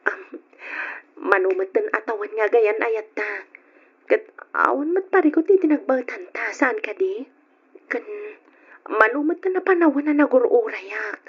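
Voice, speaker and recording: A woman's voice: on the high side, expressive, measured pace, breathy edge, highs cut off. An actress playing an affectionate young woman longing to marry in an old Ilocano radio drama, speaking with an Ilocano accent. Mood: anxious, pressing.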